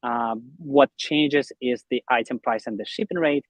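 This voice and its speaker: A man's voice: medium pitch, measured pace, no room sound, poor audio. A young man from Russia, an interview guest explaining his online business in a conversational way. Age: young adult.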